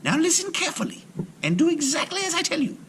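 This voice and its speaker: Storybook folktale daughter, seventeen, clever and confident, acted by a man: high-pitched, bright delivery. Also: sing-song, fast, a little hiss or hum, full character voice. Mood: bright, reassuring. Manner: laughing, confident. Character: clever, cheerful seventeen-year-old girl, Moroccan folktale daughter.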